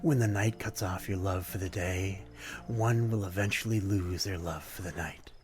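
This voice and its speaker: A man's voice: deep voice, normal speed, faint music behind, lightly acted. A man playing a lonely, courteous vampire in an ASMR roleplay, speaking in a formal, poetic and brooding way. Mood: melancholy, poetic. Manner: formal, archaic, poetic phrasing, gentle and brooding. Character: lonely, courteous, melancholy male vampire stranger by a harbor. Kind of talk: ASMR roleplay, slow theatrical monologue.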